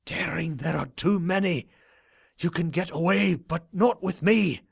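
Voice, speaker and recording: A man playing a weary, wounded older father pony in an audio drama, raspy, weak and gently insistent. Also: on the high side, no echo, full character voice. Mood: weary, pleading. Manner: slow, strained, gently insistent. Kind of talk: audio drama character acting.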